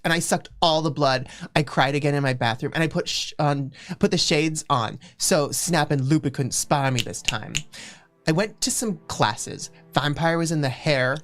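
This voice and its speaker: A woman hosting a livestream, giving a comedic, theatrical reading of fan fiction and stumbling over the text. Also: low-pitched.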